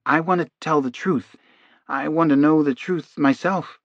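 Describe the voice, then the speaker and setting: A man voicing a meek, earnest, hypnotized male defendant, soft and halting, in an audiobook. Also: fairly high pitch, no room sound, highs cut off, pitched above the performer's own voice. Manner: soft, halting, half-distracted.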